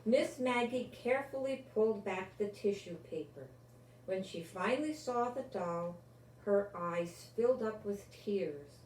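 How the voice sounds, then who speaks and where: An older woman, a grandmother, reads a children's story aloud with deliberate, expressive delivery and adds her own asides. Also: medium pitch. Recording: roomy, distant microphone, faint background noise.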